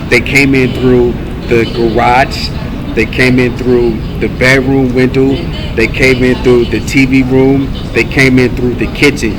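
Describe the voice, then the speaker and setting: A man from California in a rambling monologue, halting with many fillers, repeating phrases and listing things one by one. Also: mid-range voice. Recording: steady background noise.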